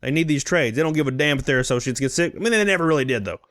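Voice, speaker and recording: A man with a high-pitched voice and very lively intonation gives casual commentary. He is sarcastic and blunt, and the sound is close and dry. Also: very fast, no room sound. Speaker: streamer reacting to a recorded conference call.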